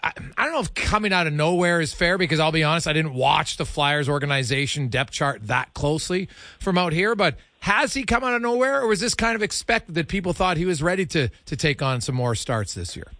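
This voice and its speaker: A man's voice: on the high side, fairly quick, pushed and bright, no room sound. A man hosting a sports radio show, asking questions in a casual, conversational interview style.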